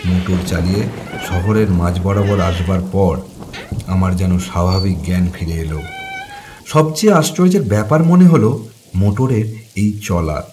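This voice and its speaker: A man's voice: low-pitched, lively intonation, moderate pace, pauses often, a little mellow. A man playing a troubled doctor who narrates a horror cartoon in a suspenseful, theatrical way. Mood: haunted, tense. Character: troubled male doctor recounting a ghostly night. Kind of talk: dramatic scripted horror narration. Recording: music behind the voice.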